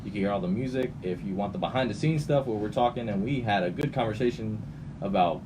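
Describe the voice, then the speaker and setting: A man's voice: lowish voice, fast. A man hosting a radio show with casual, jokey and teasing banter. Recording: noisy room, distant mic.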